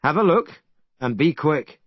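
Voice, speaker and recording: A man's voice: fairly high pitch, expressive, measured pace, dry recording, highs cut off. A man voicing a clever, bold male monkey hero who speaks in a confident, commanding way.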